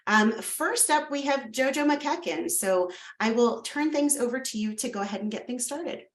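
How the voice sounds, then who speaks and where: A woman hosting a webinar and introducing the panel, reading from a script and stumbling a little now and then. Her mid-pitched voice sounds roomy and a bit far from the mic, like a video call. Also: lively intonation, normal speed. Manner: reads from a script with small slips and self-corrections. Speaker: webinar host.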